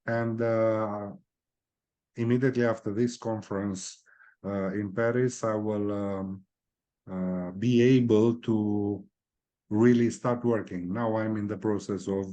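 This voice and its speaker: Man, Romanian accent, slow halting delivery, lowish voice, lots of fillers. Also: fairly even intonation, mellow, lo-fi sound. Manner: halting, many fillers, searches for words. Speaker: guest, real estate executive. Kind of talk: video interview.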